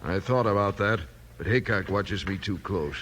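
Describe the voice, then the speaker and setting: An actor playing a rough, grumbling crooked logger henchman in a 1950s radio western, gravelly and folksy in his rustic slang. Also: sits low, normal speed, fairly bright tone. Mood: grumbling, scheming. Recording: noisy old 1950s radio recording.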